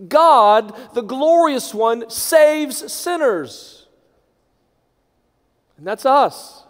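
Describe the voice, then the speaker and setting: A middle-aged man, a pastor leading a congregation in prayer from a lectern, moving between hushed, near-whispered phrases and strong, raised proclamation. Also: extremely high pitch, expressive, drawn-out words. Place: large church sanctuary. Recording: long church reverb.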